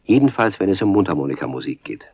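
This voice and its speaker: A man narrating a scripted children's radio play in a husky voice, as a storyteller. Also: low voice, very animated, fast talker, over music.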